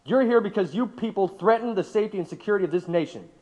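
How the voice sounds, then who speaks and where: Audio drama: stern male government interrogator, acted by a man, clipped and accusing, high voice in long reverb. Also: quick pace, a little mellow, lo-fi sound, close to an everyday voice. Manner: clipped, prosecutorial.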